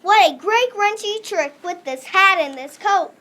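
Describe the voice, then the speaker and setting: A child reads a scripted part in a storybook readers theater. The voice is very high in pitch and has a bright, clear-cut edge. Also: animated, measured pace.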